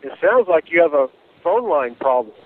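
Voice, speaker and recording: Male law officer, fairly high voice, courteous and procedural, heard over a narrow phone line. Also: sing-song, moderate pace. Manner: polite, matter-of-fact. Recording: noisy, tinny phone line.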